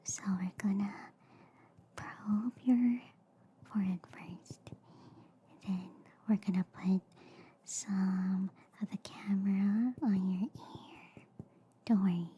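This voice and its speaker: Female performer as gentle alien girl medic, ASMR roleplay, whispered, mellow and unhurried, cheerfully silly, faint background noise. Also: medium pitch, lightly acted. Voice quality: breathy, whispery. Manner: slow, hushed, soothing, repeats phrases softly.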